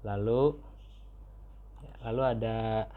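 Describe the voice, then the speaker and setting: A young Indonesian man from Tangerang giving a semi-formal student presentation in a halting way, often repeating and restarting his phrases. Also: lowish voice, fairly even intonation. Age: young adult. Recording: muffled, phone-like narrow-band audio.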